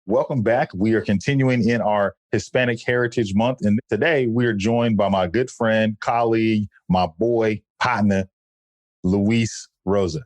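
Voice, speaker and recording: A man's voice: fairly low pitch, steady tone, measured pace, darkish tone, no echo. A man hosting an interview podcast, chatting in an upbeat, friendly and loose way. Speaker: podcast host. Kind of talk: casual interview chat.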